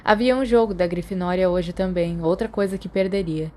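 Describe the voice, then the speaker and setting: Lower-pitched Brazilian woman narrating a story. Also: fairly even intonation, a little fast, a little mellow. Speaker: audiobook narrator.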